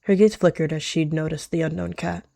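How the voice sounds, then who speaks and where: A woman's voice: low-pitched, fairly even intonation, a little fast, vocal fry, dark tone, no room sound, studio quality. A woman narrating and voicing an animal roleplay in the third person. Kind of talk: narrated text roleplay, read aloud.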